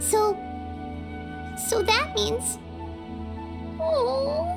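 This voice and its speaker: A woman voicing a small, childlike, excitable fairy sidekick in an anime-style video game, given to exclamations. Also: extremely high pitch, extremely slow, creaky, full character voice. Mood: tearful, sorry. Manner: speaks of self in third person, exclamatory. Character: small childlike floating fairy companion, excitable, nosy, sentimental. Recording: loud music under the voice.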